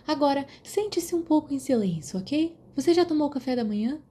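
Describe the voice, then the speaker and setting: A woman's voice: high voice, animated, fairly quick, dark tone, pitched above the performer's own voice. A woman voicing a strict, scolding older woman who works as a school nurse in an audiobook. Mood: fussing. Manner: stern, fussing, reproachful. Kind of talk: audiobook character voice.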